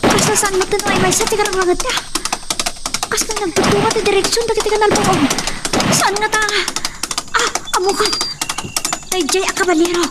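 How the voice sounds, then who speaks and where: A woman's voice: very high voice, steady tone, moderate pace, long pauses. A woman playing a frightened but determined young woman in an emotional audio drama, talking to herself with breathless exclamations. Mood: urgent. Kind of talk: Ilocano radio-style drama acting. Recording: noisy home recording with clicks.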